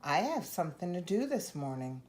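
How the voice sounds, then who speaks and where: A woman's voice: on the low side, big pitch swings, normal speed, a little mellow. A woman voicing an earnest, courteous and determined nine-year-old boy in a picture-book story. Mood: eager, hurried.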